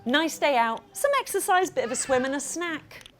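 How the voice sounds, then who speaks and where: A woman's voice: sits high, animated, normal speed, fairly bright tone, pitched above the performer's own voice. A woman voicing a plucky young dinosaur in a children's storybook, whiny and exclaiming as it puts on bravery. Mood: forced cheer.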